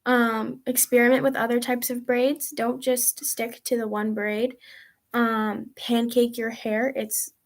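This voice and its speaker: A woman's voice: on the high side, level tone, takes it slowly. A teenage girl in a casual vlog, answering viewer questions in a chatty, rambling way with lots of ums and likes. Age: teens. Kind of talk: casual chat.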